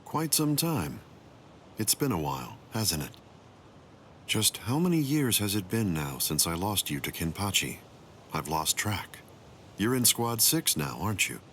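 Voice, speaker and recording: A very deep-voiced, courteous captain. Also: male voice, big pitch swings, a bit bright, a little hiss or hum, exaggerated voice. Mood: friendly, polite. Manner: measured, polite, probing. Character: composed, courteous, mild-mannered male captain. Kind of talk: English-dubbed anime game dialogue.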